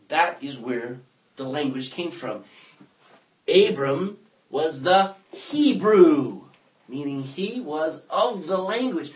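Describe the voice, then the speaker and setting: Animated man teaching a Bible class. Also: fairly high pitch, highs cut off. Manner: animated, joking, thinking aloud. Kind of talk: classroom lecture.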